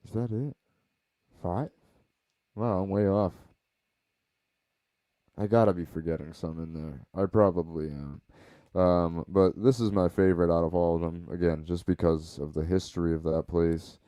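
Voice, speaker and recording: Low-voiced laid-back podcast host, man. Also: fairly even intonation, moderate pace, mellow, no room sound. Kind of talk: casual reflective chat. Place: home, baby sleeping nearby.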